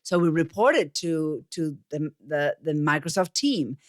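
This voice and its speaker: Woman, forties, Argentine Spanish accent, lower register, dry close sound, conversational podcast guest. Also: expressive, a little slow, no echo. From Buenos Aires, Argentina. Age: 40s. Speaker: podcast guest, communications coach. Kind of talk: conversational storytelling interview.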